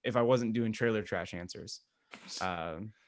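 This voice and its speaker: A man's voice: lowish voice, unhurried, no echo. Slangy man chatting, dry close sound. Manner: loose, slangy storytelling. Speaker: podcast co-host. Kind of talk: casual chat.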